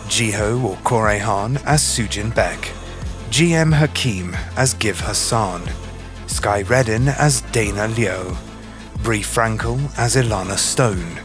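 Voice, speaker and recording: A man reading scripted closing credits as an announcer. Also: lowish voice. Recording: loud music under voice.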